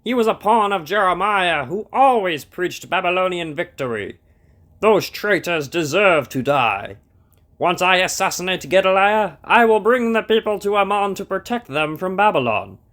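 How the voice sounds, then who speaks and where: A man's voice: sits high, expressive, normal speed, a bit bright. A man playing a treacherous, ambitious prince and captain in an audio drama, commanding and curt. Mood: scheming, confident.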